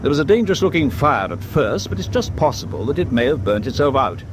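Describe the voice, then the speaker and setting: A man playing a grave, resolute British senior naval officer in a wartime radio drama, speaking with deliberate, understated formality. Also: medium pitch, music in the background, close to an everyday voice. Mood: thoughtful, resolute. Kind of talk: WWII radio drama acting. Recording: steady ship ambience noise behind.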